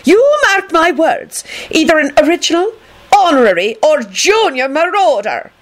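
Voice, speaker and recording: A woman's voice: high voice, very animated, normal speed, voice pushed up. A woman voicing a strict older woman professor in a storybook reading, shrieking, haughty and snappish. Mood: wrathful.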